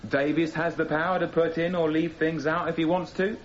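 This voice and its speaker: An actor playing a young radical defendant who questions coolly and insistently in a radio courtroom drama. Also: male voice, on the high side, flat delivery, close to an everyday voice. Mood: accusing. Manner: deliberate, insistent, probing. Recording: dull radio recording with clear noise.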